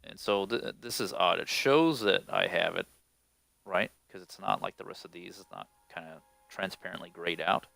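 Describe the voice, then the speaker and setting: A man with a slightly stuffy, nasal voice from a cold, casually commenting on gameplay in a rambling, unhurried way with many ums. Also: low-pitched, vocal fry, fairly bright tone, no room sound. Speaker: gaming video host.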